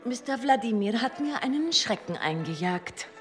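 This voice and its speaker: A woman's voice: fairly high pitch, expressive, moderate pace, soft background music, close to an everyday voice. A woman acting in a German radio drama as a genteel society hostess with a refined, formal way of talking. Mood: concerned, confiding. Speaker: actor in a radio play.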